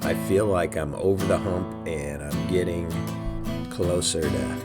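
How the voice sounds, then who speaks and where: Hoarse, slow-talking man. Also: sits low, steady tone, over loud music. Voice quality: raspy. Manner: easygoing, chatty, with many fillers. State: hoarse from a sinus cold. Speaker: podcast host. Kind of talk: casual reflective church podcast.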